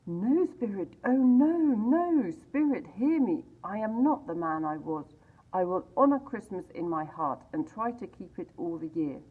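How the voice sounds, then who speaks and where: A woman's voice: mid-range voice, sing-song, measured pace, husky, pitched above the performer's own voice. A woman voicing a terrified old miser who pleads with a raised voice. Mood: pleading. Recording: tinny phone-like sound.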